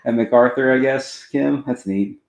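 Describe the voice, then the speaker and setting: A man's voice: mid-range voice, normal speed, creaky, a little mellow, reverberant. A man from Oklahoma, a podcast guest, talking in a laid-back way with lots of fillers. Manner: laid-back, many fillers and short agreements. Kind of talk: casual interview chat.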